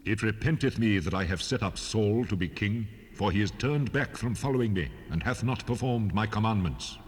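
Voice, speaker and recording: Casting: God in a scripture drama, grave, declamatory, slightly blurred timbre, huge echoing space. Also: male voice, lowish voice, moderate pace, slightly rough. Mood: solemn regret. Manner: solemn, declamatory. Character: voice of God, grieved, solemn and commanding. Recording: long hall reverb, cassette rip.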